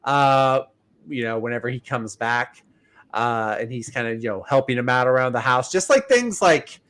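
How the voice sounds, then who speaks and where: A man co-hosting a casual comics talk show, sounding a little stuffed up from a cold, chatty and quick to laugh. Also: mid-range voice, expressive, fairly bright tone. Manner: chatty, laughs often, many fillers. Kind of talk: casual chat.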